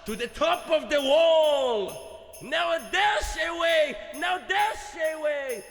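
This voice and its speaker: Guy doing a merry old santa calling out from his sleigh, pitched way up, storybook style, music behind. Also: takes it slowly, fairly bright tone, echoey room, long reverb tail, heavily acted. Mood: urgent, excited. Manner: calling out in exclamations.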